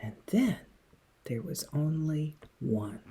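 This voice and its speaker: Woman, children's read-aloud, slow pacing, very animated intonation, dramatic, hushed suspenseful moments. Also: sits low, husky. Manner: dramatic, with hushed passages and emphatic words. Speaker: teacher reading a picture book to young children.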